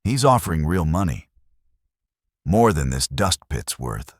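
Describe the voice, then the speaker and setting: Man acting a menacing frontier gunslinger, very deep voice, scornful, threatening, dry sound, western storybook villain. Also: very animated, a little slow, no echo, exaggerated voice. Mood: contemptuous menace.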